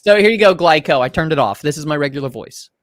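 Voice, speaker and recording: A man's voice: on the high side, expressive, fast talker, dry recording, clean studio sound. A man talking casually on a livestream in his own voice without the changer, joking and swearing.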